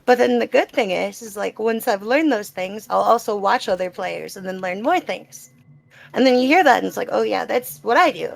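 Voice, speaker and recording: A woman's voice: mid-range voice, expressive, moderate pace, vocal fry. A woman gamer answering questions in a casual live Q&A, talking in a reflective, explanatory and conversational way. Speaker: gamer being interviewed. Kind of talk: live Q&A, casual personal talk.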